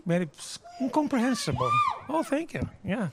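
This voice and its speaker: A man's voice: very high-pitched, wildly swooping pitch, normal speed. A man with a Brazilian accent performing stand-up comedy, emphatic and repeating his lines, swearing freely. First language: Portuguese. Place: live comedy show with audience. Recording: very noisy live stand-up recording. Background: loud noise, audience laughter.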